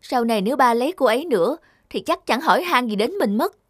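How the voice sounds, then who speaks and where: A woman's voice: a little high, expressive, quick pace, husky. A woman voicing a stubborn, jealous little schoolgirl in a cartoon, pleading and sulky. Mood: sulky worry. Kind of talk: cartoon dub acting. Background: music.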